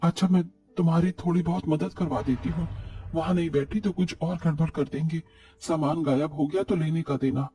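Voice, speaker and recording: A man voicing a patient older mother-in-law in an animated story, soothing and homely. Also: a little high, normal speed, soft background music, lightly acted. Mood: placating.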